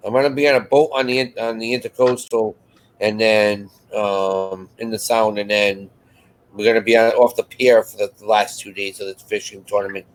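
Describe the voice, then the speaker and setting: A man's voice: lowish voice, little pitch movement, moderate pace, rough recording. A man chatting casually as co-host of a live show, rambling and restarting his phrases as he goes. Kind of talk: casual chat.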